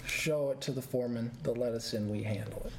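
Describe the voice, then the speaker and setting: A man playing a tabletop game, chatty and quick to agree with everyone. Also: mid-range voice, roomy sound. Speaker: player. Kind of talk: casual tabletop play. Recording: loud background noise.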